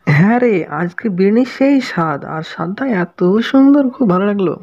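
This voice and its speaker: A woman plays a caring village father for a cartoon fairy tale. The voice is medium in pitch and speaks quickly, on a somewhat dull recording. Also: expressive, darkish tone, close to an everyday voice. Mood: caring, coaxing. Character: kindly village father, caring toward his daughter. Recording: dull lo-fi sound.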